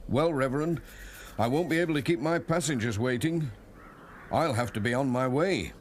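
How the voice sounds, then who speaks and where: A man's voice: medium pitch, moderate pace, slight background noise, natural voice. A man voicing a cheerful, obliging village postman for a children's cartoon, polite and folksy, with a British accent. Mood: mildly hurried.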